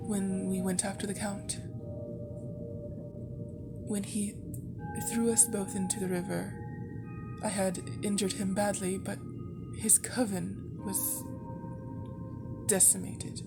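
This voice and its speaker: A woman playing an elegant vampire baroness in an intimate ASMR roleplay, teasing and wistful, speaking haltingly and tenderly. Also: medium pitch. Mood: somber, subdued. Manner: slow, halting, tender delivery with sighs and pauses. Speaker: audio roleplay performer.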